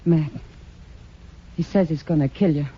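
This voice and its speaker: A woman's voice: sits low, a little slow. Worried frontier saloon woman. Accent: American. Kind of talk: 1950s radio western acting. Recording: dull, noisy old radio broadcast.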